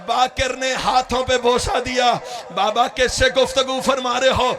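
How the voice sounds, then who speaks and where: A man's voice: very high voice, flat delivery. A man delivering an impassioned mourning sermon, crying out and wailing to the mourners. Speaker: religious orator.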